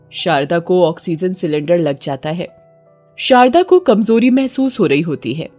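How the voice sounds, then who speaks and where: A woman's voice: on the low side, darkish tone. A woman reading a scripted horror story in a dramatic storytelling manner. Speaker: story narrator. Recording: music bed under the voice. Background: background music.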